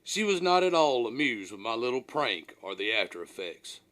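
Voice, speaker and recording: A man's voice: medium pitch, very animated, moderate pace, fairly bright tone. A man reading his own first-person memoir as an audiobook, in a folksy, dramatic storytelling manner.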